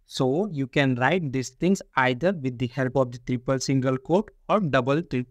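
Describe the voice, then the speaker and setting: A man's voice: medium pitch, normal speed, dry recording. Male coding tutor, step-by-step walkthrough, dead dry close-mic sound. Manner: repetitive, explains step by step with frequent "see" and "only". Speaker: programming tutor. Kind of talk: screen-recorded coding lesson.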